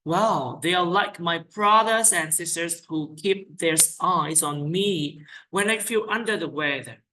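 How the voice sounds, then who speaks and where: Bright-voiced IELTS teacher. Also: mid-range voice, measured pace, a little hiss or hum, low-quality recording. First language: Vietnamese. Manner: reads out questions and answers, corrects own slips with "sorry". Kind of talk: reading sample exam answers aloud.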